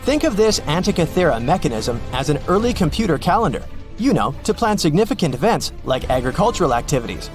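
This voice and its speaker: A man narrating a scripted explainer as the presenter of a story. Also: a little high. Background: background music.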